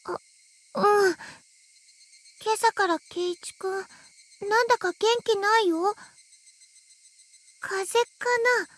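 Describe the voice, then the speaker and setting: Very high, sweet anime schoolgirl. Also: measured pace, pauses often. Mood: timid concern. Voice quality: childlike, girlish anime voice. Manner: cutesy echoed sentence endings, gentle. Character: sweet, timid, cheerful schoolgirl friend. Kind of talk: Japanese visual novel voice acting.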